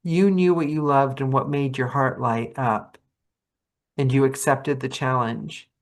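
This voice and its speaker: A woman hosting a podcast, chatting animatedly with her guest, with quick asides and self-corrections. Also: very deep voice, fairly even intonation. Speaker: podcast host. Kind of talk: casual interview chat.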